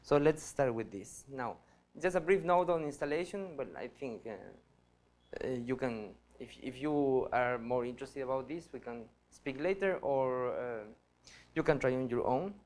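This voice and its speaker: A man in his thirties from Ecuador presents at a research workshop. His voice sits at an ordinary middle pitch, and he speaks earnestly, stopping often to restart his sentences. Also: lively intonation, unhurried, darkish tone. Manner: hesitant, many fillers and restarts, earnest. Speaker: PhD student presenter. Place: lecture hall.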